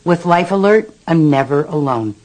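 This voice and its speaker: A woman's voice: low-pitched, animated, moderate pace, darkish tone, low-quality recording. A woman playing an older grandmother in a scripted advert testimonial, speaking earnestly and dramatically about her own ordeal. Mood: reassured. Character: frightened grandmother recounting a fall, grateful for help.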